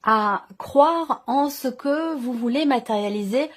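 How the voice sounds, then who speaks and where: High-pitched woman giving a tarot reading. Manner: halting, searching for words, repeats herself. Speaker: tarot reader. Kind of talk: intuitive tarot reading to camera, unscripted.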